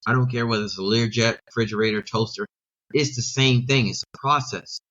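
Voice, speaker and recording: A man's voice: mid-range voice, fairly even intonation, brisk, fairly bright tone, lo-fi sound. A middle-aged man, a sales coach, talking emphatically in an interview and using rhetorical questions and repetition. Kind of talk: podcast interview.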